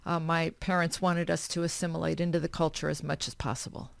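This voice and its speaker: A woman's voice: low-pitched, flat delivery, quick pace, vocal fry, no echo. A woman whose first language is German, telling her family history in a radio interview with short, matter-of-fact answers. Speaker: nonprofit founder, interview guest. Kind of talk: radio interview, personal life story.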